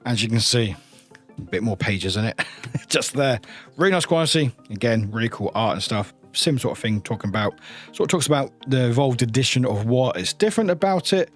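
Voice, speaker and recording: A man's voice: mid-range voice, expressive, a little fast, faint music behind. A man presenting an unboxing in a casual show-and-tell style, with informal, loosely phrased and appreciative commentary. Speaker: product reviewer, unboxing host. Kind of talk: casual show-and-tell commentary, sometimes reading blurbs aloud.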